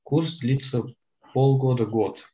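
A man's voice: medium pitch, slow pace, dark tone, dull audio. Eighteen-year-old guy chatting casually. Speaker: podcast co-host. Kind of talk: casual podcast chat.

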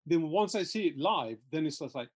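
A man with a slightly high-pitched voice interviews a musician. He is keen, stumbling and repeating words as he gets his questions out. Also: lively intonation, a little fast, darkish tone, slightly rough. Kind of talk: casual interview chat.

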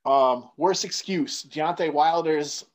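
A man's voice: a little high, takes it slowly. Laid-back male podcast host. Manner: relaxed, friendly, says man and dude. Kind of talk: casual interview. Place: Connecticut, USA.

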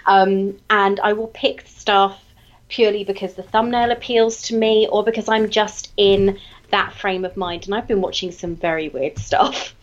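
A British woman co-hosting a casual remote chat, animated and emphatic, with a slight lingering cough. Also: mid-range voice, moderate pace, dull audio. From United Kingdom. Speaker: podcast co-host.

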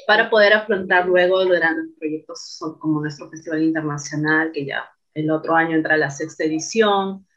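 A woman's voice: sits low, moderate pace, hardly any pauses, fairly bright tone. A woman guest on a radio interview, talking reflectively with many fillers and tag questions. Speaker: interview guest, cultural manager. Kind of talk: casual conversation on radio. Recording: dull lo-fi radio audio.

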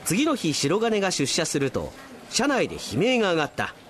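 A man's voice: sits high, wildly swooping pitch, fairly quick, slight background noise. A man giving scripted narration for a radio drama in a theatrical storytelling manner.

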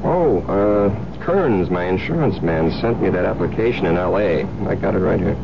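Low-voiced coaxing husband, noisy cabin. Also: male voice, expressive, normal speed, gravelly. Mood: smooth, coaxing. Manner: coaxing, casual. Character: murderous husband, outwardly soothing, casually controlling. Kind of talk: old radio drama acting. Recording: dull radio recording, loud cabin noise.

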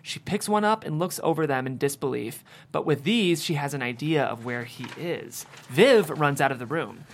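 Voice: mid-range voice, animated, moderate pace, slight background noise. A narrator reading the stage directions of a screenplay at a table read.

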